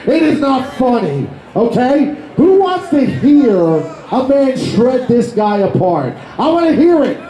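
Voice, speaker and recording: A man shouting and swearing to hype up a live audience as he introduces the next roaster on a convention stage. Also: very high voice, big pitch swings, takes it slowly, few pauses. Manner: shouting, profane crowd hyping. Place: convention stage before a crowd. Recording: echoey hall, crowd behind.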